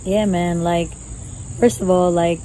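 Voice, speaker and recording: A young woman talks in an animated, slangy stream, loud and unfiltered. Her voice is mellow and warm rather than bright. Faint crickets and outdoor ambience sit behind her. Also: lowish voice, slow pace, a little hiss or hum, poor audio. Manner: loud, animated, slangy, full of "you know what I'm saying". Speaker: podcast guest and activist. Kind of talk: casual conversational interview.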